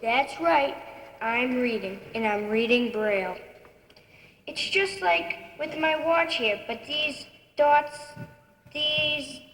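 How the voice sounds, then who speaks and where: A woman voicing a calm blind eleven-year-old boy in a children's puppet show, patiently explaining. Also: sits high, slow pace, heavily acted. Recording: echoey hall.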